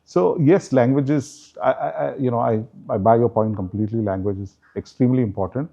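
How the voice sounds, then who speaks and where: A man hosts the interview in a gravelly voice at a mid pitch. He talks loosely and often drops in "you know" and "right?". The sound is slightly muffled and lo-fi. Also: a little fast. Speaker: host, interviewer. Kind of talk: interview chat.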